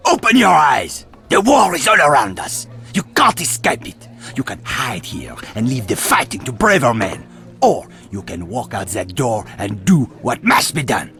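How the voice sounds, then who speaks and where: A man's voice: mid-range voice, huge pitch swings, normal speed, gravelly, bright, forceful tone, soft background music, heavily acted. An actor playing a goading, passionate French resistance man in a video game, with a French accent and theatrical delivery. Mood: impassioned. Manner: provoking, theatrical. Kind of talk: dramatic video game acting.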